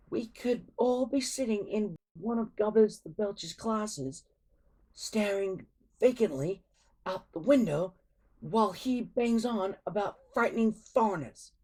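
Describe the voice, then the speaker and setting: Lady as a awkward earnest viking kid, pitched up high, storybook reading. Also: slow pace, mellow, poor audio. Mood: cold indignation. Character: shy, awkward but indignant young Viking boy.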